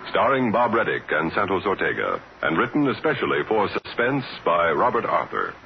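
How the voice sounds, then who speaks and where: A man's voice: deep voice, big pitch swings, normal speed, gravelly, very noisy. A man reading scripted credits as a 1960s radio drama announcer, theatrical, drawing out the titles. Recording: dull vintage radio broadcast, loud music.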